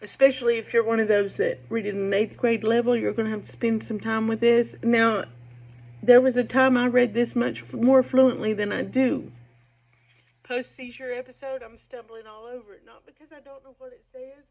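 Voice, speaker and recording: Woman, raised pitch, informal literary talk, emphatic and opinionated, halting delivery, muffled sound. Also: steady tone, creaky. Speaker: reader and commentator. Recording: dull, muffled lo-fi recording.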